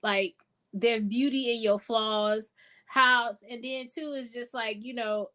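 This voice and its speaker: Podcast co-host, woman, high-pitched voice, frank and teasing, casual chat delivery. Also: steady tone, slow pace, bright, forceful tone. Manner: blunt and playful, swears casually.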